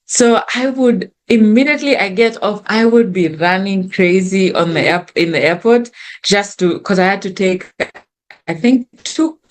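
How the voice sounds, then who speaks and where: A woman's voice: mid-range voice, a little slow. A young woman with a Kenyan accent whose first language is Swahili, earnestly telling her story as a guest on a video call. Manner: earnest and reflective, with frequent restarts. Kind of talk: conversational storytelling.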